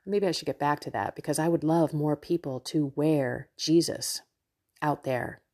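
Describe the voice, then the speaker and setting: Low-pitched, slightly nasal woman podcaster. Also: moderate pace, dark tone. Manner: effusive, chatty, talks directly to listeners. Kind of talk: casual devotional monologue to listeners.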